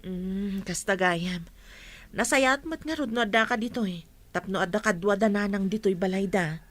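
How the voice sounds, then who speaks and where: A woman's voice: mid-range voice, moderate pace, a bit bright, no room sound, natural voice. A woman playing a troubled, earnest mother with an Ilocano accent in a radio drama. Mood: inquiring. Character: troubled, pleading mother of a student.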